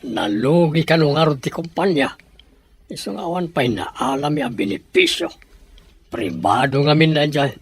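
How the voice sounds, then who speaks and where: A man's voice: on the high side, wildly swooping pitch, moderate pace, breathy edge, heavily acted. A man playing a jobless middle-aged son, subdued and respectful. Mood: worried.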